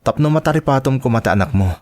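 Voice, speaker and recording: A man's voice: medium pitch, lively intonation, very fast, darkish tone, no room sound, natural voice. A man playing an earnest, polite young suitor in a radio drama, explaining himself with an Ilocano accent. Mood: defensive, reproving. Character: earnest, polite young suitor who works in fast food. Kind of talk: Filipino radio drama acting.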